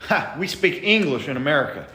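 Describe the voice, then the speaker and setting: Man as a mocking, loudmouthed senator, sharp and bright, echoey sketch audio. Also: a little high, sing-song, a little fast. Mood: triumphant. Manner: badgering, mocking, loud. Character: blustering ignorant male US senator, pushy interrogator.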